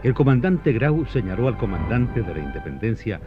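A man's voice: on the low side, big pitch swings, moderate pace. A man narrating a scripted historical radio drama in a dramatic, declamatory way. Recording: dull lo-fi radio recording with music.

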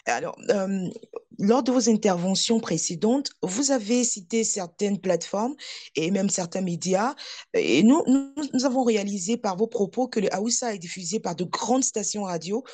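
A woman hosting a podcast, interviewing a guest in a measured, formal way. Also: mid-range voice, lively intonation, warm tone. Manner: measured, formal phrasing with fillers. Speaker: podcast host.

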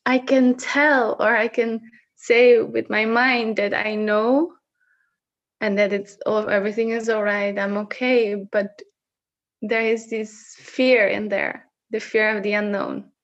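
A woman from the Netherlands with a Dutch accent, sharing personal reflections on a video call in a slow, searching way with frequent restarts. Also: fairly high pitch, flat delivery, lo-fi sound. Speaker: session facilitator.

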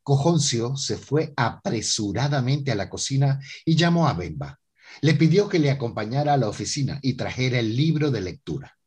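A man's voice: medium pitch, very animated, moderate pace, darkish tone, breathy edge. A man narrating a scripted radio drama as its storyteller.

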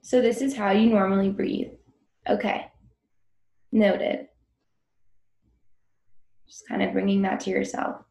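A woman guiding a breathing meditation in a gentle, informal and unhurried instructional manner. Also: medium pitch, steady tone, creaky, echoey room. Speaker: meditation guide.

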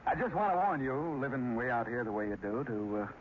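An actor playing a weary, plain-spoken small-town sheriff in an old radio drama, with a folksy, hesitant manner. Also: male voice, medium pitch, big pitch swings, a little fast, close to an everyday voice. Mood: grave. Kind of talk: 1940s radio drama acting. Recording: dull, hissy old radio recording.

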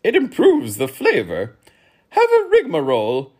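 A fussy storybook aristocrat, played by a male narrator, pitched high with big swoops. The manner is loud, nagging and exclamatory. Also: huge pitch swings, a little slow, husky, heavily acted. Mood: eager, offering. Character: fussy, scolding storybook noblemen at a banquet.

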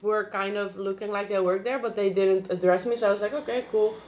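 A woman's voice: mid-range voice, fairly even intonation, fairly quick, a little mellow, a little hiss or hum. Blunt, sardonic young woman co-host. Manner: blunt, sardonic, swears casually. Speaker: podcast co-host. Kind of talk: casual podcast chat. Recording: slightly dull lo-fi sound.

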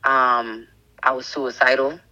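A woman in her thirties, a podcast guest sharing a candid personal testimony in a halting way, with many fillers and restarts. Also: very deep voice, level tone, pushed and bright, highs cut off. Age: 30s.

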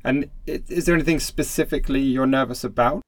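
A man hosting a podcast, introducing guests and asking questions in a measured, polite way. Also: mid-range voice, dry recording. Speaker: podcast host.

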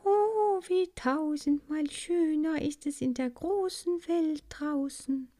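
A woman voicing a little young female bee who is eager and dazzled, speaking in high excited exclamations. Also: slow pace, full character voice. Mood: rapturous.

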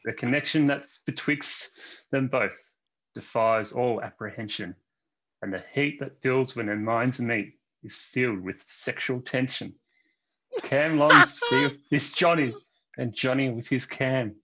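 A man's voice: medium pitch, lively intonation, unhurried, pushed and bright, poor audio. A man reading comic fan fiction verse aloud like a mock-romantic storyteller. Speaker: podcast co-host.

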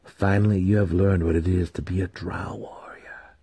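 A man voicing a stern, cruel male dark elf warrior, an older brother, in a fantasy audiobook. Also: low-pitched, fairly even intonation, normal speed, vocal fry, slightly rough, heavily acted. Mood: whispered approval. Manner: sharp, demanding. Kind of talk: dramatic fantasy audiobook character.

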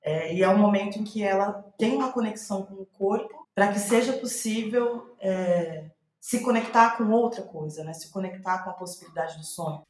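A Brazilian woman talks reflectively about her own writing in a clearly echoey room. Her pitch sits in the middle, and she speaks thoughtfully, searching for the right words. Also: normal speed, hardly any pauses. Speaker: playwright, guest. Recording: roomy, echoing sound.

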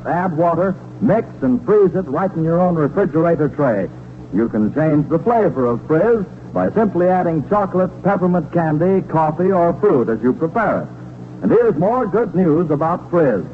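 A man's voice: a little high, slight background noise. An American man reading scripted announcements and ads in the style of a 1940s radio announcer. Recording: dull, lo-fi 1940s radio broadcast.

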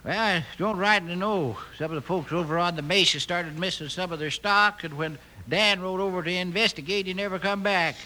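An actor playing a folksy, crotchety old American frontier storekeeper, hemming and joking, in a Western radio drama. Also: male voice, high voice, a little fast, few pauses, poor audio. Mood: grave. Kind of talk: 1950s Western radio acting. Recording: bright old-time radio recording.

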